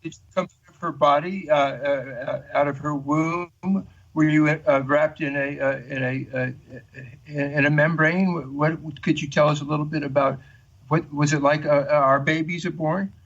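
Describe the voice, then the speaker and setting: A male host puts his questions haltingly, stopping often to find the next word. His voice sits a touch high. The sound is dull and lo-fi, as if heard over a remote line. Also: fairly even intonation, fairly bright tone. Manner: halting, searching for words with many hesitations. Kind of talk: interview questions.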